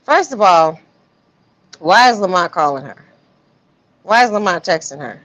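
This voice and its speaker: Lower-pitched woman, casual stream host. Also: expressive, a little slow, a bit bright. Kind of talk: casual commentary on text messages. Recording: dull, lo-fi stream audio.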